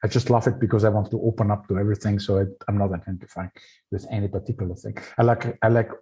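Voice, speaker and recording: Thoughtful Austrian man, low warm voice. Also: flat delivery, breathy edge. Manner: thoughtful, slow, searching for English words. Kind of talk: casual spiritual conversation.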